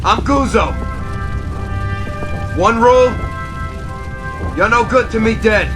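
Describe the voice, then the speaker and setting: A man's voice: extremely high pitch, slow pace, over music. An actor playing a blunt, hard-nosed male soldier in a war game, speaking with command. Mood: stern.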